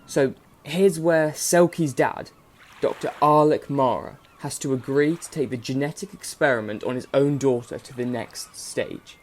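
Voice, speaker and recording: A man's voice: mid-range voice, a little slow, a little mellow, a little hiss or hum, natural voice. A man playing an irreverent, jokey narrator of a dystopian radio play, talking colloquially and wryly to listeners. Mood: wry, chatty. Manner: colloquial, wry. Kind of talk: chatty storytelling addressed to listeners.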